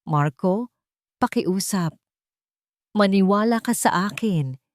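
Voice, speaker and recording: A woman's voice: medium pitch, animated, takes it slowly, no echo. Narrator lady doing a humble young nurse girl, earnest and unsure, dry audio. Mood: pleading. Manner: hesitant, polite, pleading.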